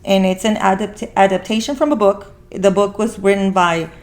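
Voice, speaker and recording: A woman's voice: mid-range voice, steady tone, normal speed. A woman hosting a podcast in casual conversation, rambling as she repeats and rephrases herself. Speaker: podcast host.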